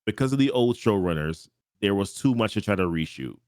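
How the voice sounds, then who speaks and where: A man's voice: fairly low pitch, lively intonation, brisk, a little mellow, no room sound. A man co-hosting a casual podcast, thinking aloud in a rambling way and often repeating himself, speaking close into a heavy dynamic microphone. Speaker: podcast co-host. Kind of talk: casual chat. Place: home studio, streaming live. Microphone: close dynamic mic.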